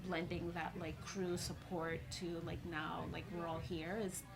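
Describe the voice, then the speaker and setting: A woman's voice: on the low side, measured pace, creaky. A woman whose first language is not English, an ultrarunner guest chatting casually in an interview and filling her talk with "like". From New York. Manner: chatty, heavy use of "like", animated when quoting herself.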